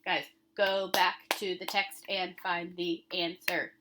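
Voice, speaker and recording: A woman's voice: lowish voice, flat delivery, slow, bright, forceful tone, a little hiss or hum. A woman speaking as an elementary school teacher, reading comprehension questions aloud and addressing children directly with instructive emphasis on key words.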